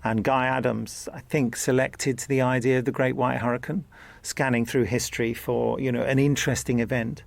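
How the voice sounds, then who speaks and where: Creaky-voiced man, dry studio sound. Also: medium pitch, level tone, moderate pace, no room sound. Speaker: audio drama director. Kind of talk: behind-the-scenes interview commentary.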